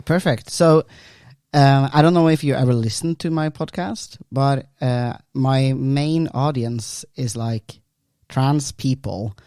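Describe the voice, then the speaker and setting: A man from Norway hosts a podcast and talks in English, which is not his first language. His speech is hesitant and halting, and the recording is dry, with no room echo. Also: mid-range voice, mellow, clear, resonant tone. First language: Norwegian. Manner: hesitant, many fillers and restarts. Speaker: podcast host. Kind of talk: casual podcast interview.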